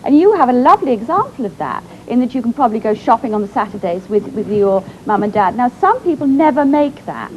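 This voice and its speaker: A woman speaking as an expert guest, calmly explaining family relationships. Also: fairly high pitch, fast talker, hardly any pauses. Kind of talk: explanatory commentary. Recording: lo-fi TV recording, faint hum.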